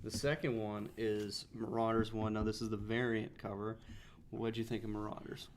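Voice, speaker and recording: A man's voice: fairly low pitch, little pitch movement, moderate pace, a little hiss or hum. A man hosting a casual comic book review, explaining his opinions critically and restating his points. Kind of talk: casual two-person review chat.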